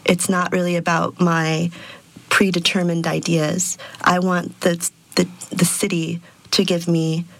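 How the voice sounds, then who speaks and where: A woman's voice: on the low side, level tone, a little slow, vocal fry, fairly bright tone, dry recording, poor audio. A woman in her thirties, an artist born in Peru and raised in Texas, answering interview questions in a reflective way with many fillers. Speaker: sound artist and DJ.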